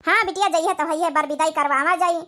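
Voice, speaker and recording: A woman's voice: extremely high pitch, fast talker, pushed and bright. A woman voicing a fretful, peacemaking village mother-in-law in a comedy cartoon, coaxing and rustic, with an Awadhi accent.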